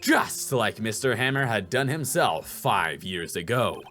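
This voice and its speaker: A man's voice: lowish voice, big pitch swings, unhurried, pushed and bright, faint music behind, voice pushed down, heavily acted. A man voicing an earnest, insistent young defense lawyer in a video game, with an emphatic delivery. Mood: accusing, emphatic. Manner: emphatic, declaratory.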